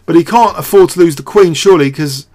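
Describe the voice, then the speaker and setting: Higher-voiced man thinking aloud. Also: quick pace, darkish tone. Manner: hesitant, thinking aloud with repeated words. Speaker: host of a chess show. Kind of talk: off-the-cuff game commentary and analysis.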